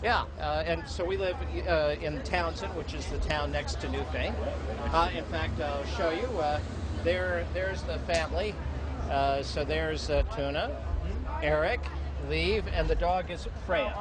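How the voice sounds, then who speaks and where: A man campaigning for the Senate, chatting genially outdoors in a halting way full of fillers. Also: a little high, a loud crowd. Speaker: Senate candidate. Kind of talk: casual campaign chat. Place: outdoors on a town street. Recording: street noise outdoors.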